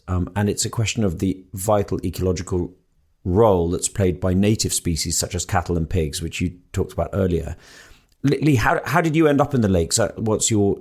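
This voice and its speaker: A man hosting an interview podcast, speaking thoughtfully and often repeating words as he starts sentences. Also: deep voice. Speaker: podcast host. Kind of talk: conversational interview.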